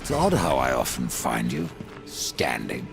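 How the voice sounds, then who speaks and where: Grim, very deep gravelly horseman. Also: wildly swooping pitch, unhurried, soft background music, heavily acted. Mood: dry. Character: grim, imperious male horseman of the apocalypse. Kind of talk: dark fantasy game acting.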